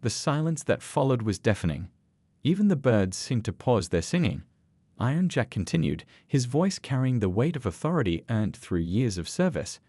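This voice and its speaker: A man's voice: mid-range voice, big pitch swings, normal speed, dark tone, no room sound. A man narrating a scripted dramatic story.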